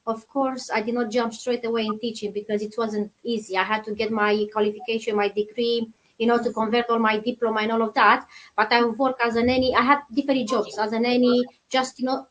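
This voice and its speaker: A woman's voice: a little high, flat delivery, a little fast, low-quality recording. A woman in her thirties from Romania, a guest on an online show, talking in a friendly, chatty way with many fillers. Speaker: guest, nursery teacher. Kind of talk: interview answers.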